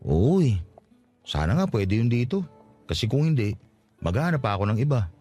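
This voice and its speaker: A man with a Filipino accent playing a humble, flattering provincial worker in his late twenties in a radio drama. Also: medium pitch, normal speed, husky, soft background music. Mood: worried, humble. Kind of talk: radio drama acting in natural conversation.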